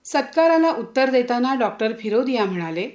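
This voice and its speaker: A woman's voice: a little high, animated, fairly quick, a little mellow. A woman presenting a newsreel in scripted news narration.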